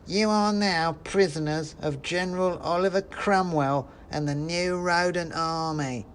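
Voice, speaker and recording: A man voicing a pompous, bossy male mouse soldier with a high-pitched voice in a spoken story. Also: fairly even intonation, measured pace, a little hiss or hum, natural voice. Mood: commanding. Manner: blustering, bossy.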